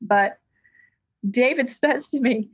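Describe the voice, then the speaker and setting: An American woman, a licensed counselor, telling a personal story as a podcast guest in a lively, conversational way. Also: high-pitched, slow pace, breathy edge, no echo, lo-fi sound. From United States.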